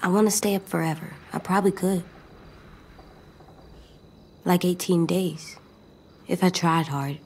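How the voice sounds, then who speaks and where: AJ, a solemn, creaky-voiced little boy. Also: fairly low pitch, steady tone, unhurried, pauses often, a little hiss or hum. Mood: subdued, earnest. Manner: quiet, earnest, guarded. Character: solemn, stubborn, anxious little boy survivor. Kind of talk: video game dramatic acting.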